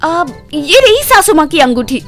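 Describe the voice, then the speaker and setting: High-pitched, greedy young daughter-in-law voiced by a woman. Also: a little slow, soft background music, heavily acted. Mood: gleeful, greedy. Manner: excitable, eager, exclaiming melodramatically. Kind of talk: Hindi cartoon moral story acting.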